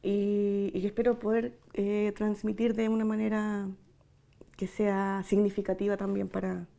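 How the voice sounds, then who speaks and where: Creaky-voiced Chilean woman, reflective and hesitant. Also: mid-range voice, little pitch movement, mellow. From Santiago, Chile. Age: 40s. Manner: reflective, hesitant, searching for words with long pauses. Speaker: interviewee giving testimony. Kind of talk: personal oral-history testimony.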